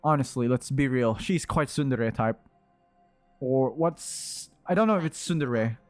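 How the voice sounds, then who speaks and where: A man's voice: medium pitch, animated, unhurried, a little mellow. A man giving casual playthrough commentary as a game streamer, rambling and repeating himself as he thinks aloud. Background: game music.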